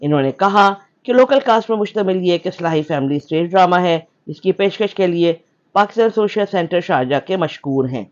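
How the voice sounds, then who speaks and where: Higher-pitched man reading news. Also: expressive. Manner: formal announcing delivery. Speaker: news reporter. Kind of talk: scripted news narration. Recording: dull lo-fi voice-over.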